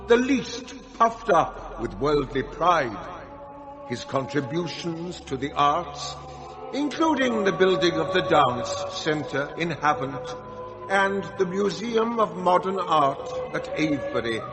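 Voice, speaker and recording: A reverent, declamatory eulogist in a radio drama. His fairly high voice moves slowly with a lively rise and fall over clearly audible music. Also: full character voice. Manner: declamatory, measured. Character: solemn, reverent male eulogist praising the dead.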